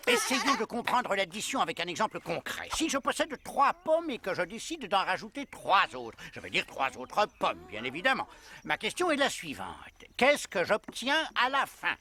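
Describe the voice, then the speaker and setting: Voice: medium pitch, wildly swooping pitch, moderate pace, heavy background noise. An actor playing a fussy, professorial male schoolteacher in a French children's cartoon, sometimes stammering. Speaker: teacher. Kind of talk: French cartoon dub acting.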